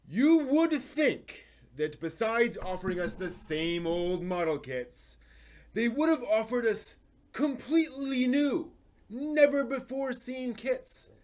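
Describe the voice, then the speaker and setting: A man's voice: extremely high pitch, darkish tone, full character voice. A man playing an indignant, aggrieved anime fan in a theatrical dramatic reading, drawing out words for emphasis. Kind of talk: mock dramatic reading of a forum post.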